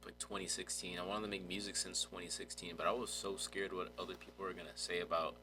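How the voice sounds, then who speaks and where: A man's voice: low-pitched, flat delivery, quick pace, noisy background. A young man hosting a podcast interview, chatting in an informal, slangy way. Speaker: podcast host. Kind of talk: casual chat.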